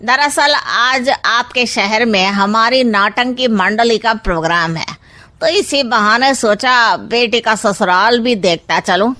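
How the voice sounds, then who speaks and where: Woman as a bright-voiced genial bandit chief. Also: fairly high pitch, slow. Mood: jovial. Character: famous gun-toting male bandit, a genial father-in-law.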